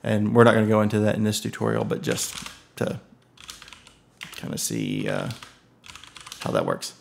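A man giving a relaxed screen-recorded software tutorial, thinking aloud with many ums and uhs. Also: lowish voice, normal speed, creaky, a little hiss or hum. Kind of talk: casual screen-recorded tech walkthrough.

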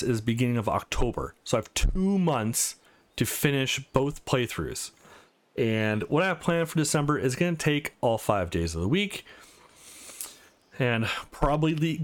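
A man hosting a let's play, chatting casually as he comments on a game. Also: medium pitch. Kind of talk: casual game commentary, reading dialogue aloud.

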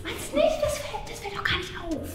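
High-pitched meddling mother, played by a woman. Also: big pitch swings, brisk. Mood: confident. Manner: flustered, hesitant, insistent. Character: meddling, overconfident mother posing as hip schoolgirl. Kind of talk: exaggerated family comedy skit acting. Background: faint music.

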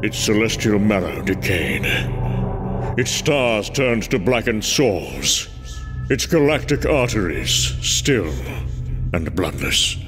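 A man's voice: on the low side, big pitch swings, slow. A man giving grave, portentous scripted narration. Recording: backed by loud music.

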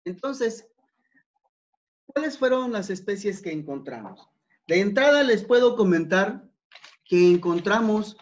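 A man giving an academic talk over slides, unhurried, with many fillers and repeated words. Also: high-pitched. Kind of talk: conference presentation over slides. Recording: dull, lo-fi online talk.

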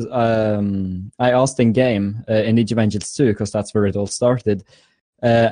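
Young man from norway, mellow tone, dry close sound, eager guest who repeats himself. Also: medium pitch, no room sound. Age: 20s. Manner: eager, repeats words, laughs often. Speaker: guest YouTuber. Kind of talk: casual interview.

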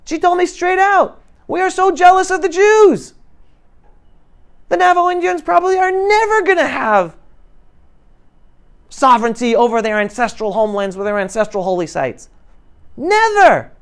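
A middle-aged man giving an impassioned, animated motivational talk to young people, with emphatic delivery. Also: extremely high pitch, moderate pace, long pauses. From New York City, United States. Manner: emphatic, animated, swinging between loud and hushed. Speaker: guest speaker addressing high school students. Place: classroom, indoors. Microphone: lapel mic.

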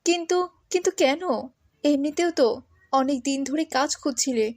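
A woman playing a gentle, pious village mother in a cartoon moral story. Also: sits high, moderate pace, no room sound, clean studio sound, close to an everyday voice. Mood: puzzled.

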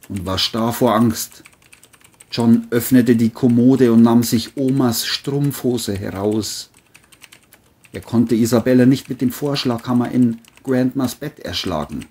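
A man's voice: mid-range voice, mellow, slightly rough. A man narrating a scripted crime story as an audiobook-style reading.

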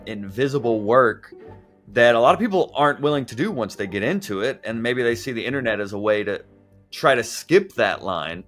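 A man with a mid-range voice answers interview questions in a relaxed, talkative way. He is a comedian with a podcast, and faint music plays behind him. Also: mid-range voice, a little fast. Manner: relaxed, talkative, says "like" often.

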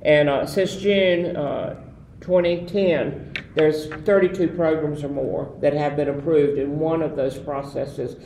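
A man's voice: on the high side, steady tone, slow, gravelly, a little mellow. An older man with a Southern American accent, reminiscing as an interview guest and rambling with many fillers. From Southern United States. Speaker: guest, former association president. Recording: roomy, distant mic, faint noise.

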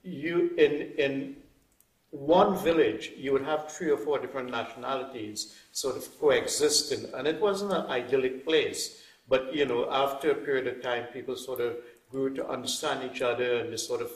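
Man, 50s, reflective guest, hedges and restarts, roomy distant mic. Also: medium pitch. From Trinidad. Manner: thoughtful, hedging with many "sort of" restarts. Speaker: guest writer. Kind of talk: reflective interview talk. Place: wood-panelled indoor room.

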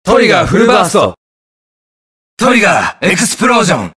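A man's voice: sits high, fast, slightly rough, no echo, studio quality, full character voice. A young man playing a cool, inquisitive detective superhero in a video game, with precise, teasing delivery. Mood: shouting attack calls. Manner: calm, precise, smug and curious delivery. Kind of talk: Japanese video game voice acting, short lines.

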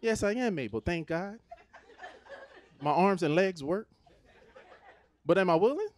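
A man from Los Angeles in his thirties with an American accent, performing a stand-up comedy set on a theater stage into a handheld mic, animated and conversational. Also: sits high, measured pace, background noise. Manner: animated, conversational, switches into put-on Nigerian voices for his parents.

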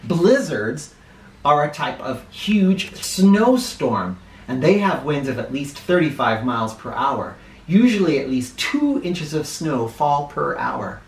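Expressive American man reading aloud, roomy sound. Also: fairly high pitch. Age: middle-aged. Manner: expressive, dramatic, deliberate emphasis. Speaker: children's picture book reader and host. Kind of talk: read-aloud for children with spoken asides. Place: small living room, indoors. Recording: roomy, echoey living room sound.

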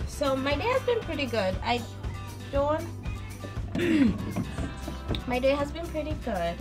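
A young woman with a foreign accent, chatting and telling stories in a casual cooking vlog from her small home kitchen. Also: a little high. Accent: foreign, non-native English. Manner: chatty storytelling, animated. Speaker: vlogger. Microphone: phone camera on the counter.

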